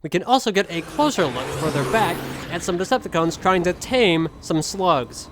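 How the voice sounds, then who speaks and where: A man's voice: sits high, lively intonation, normal speed, background noise. A man hosting a gaming video with playful, joking commentary and a theatrical streak.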